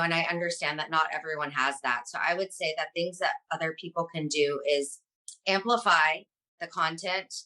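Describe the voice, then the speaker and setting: A woman's voice: fairly low pitch, bright, forceful tone, low-quality recording. A woman speaking as an interview guest, answering earnestly in a conversational way. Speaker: interview guest, online advocate and influencer.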